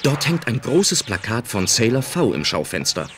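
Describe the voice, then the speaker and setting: A woman narrates a radio play in a very low register. Her scripted storytelling is lively in intonation, with light music underneath. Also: moderate pace.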